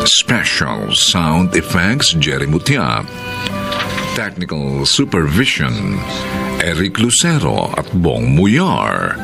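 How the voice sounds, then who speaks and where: A man's voice: low voice, vocal fry. A man with a Filipino accent narrating and announcing a radio drama in a dramatic, scripted style.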